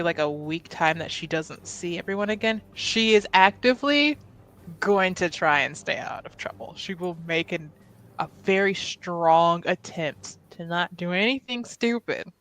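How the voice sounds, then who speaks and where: A woman's voice: lowish voice, normal speed, a bit bright. A woman playing a tabletop roleplaying game, casually improvising and narrating what a character does.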